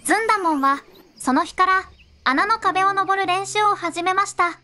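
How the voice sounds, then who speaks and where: A young-sounding synthetic woman narrating a fairy tale in measured storybook phrasing. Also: very high voice, bright, forceful tone.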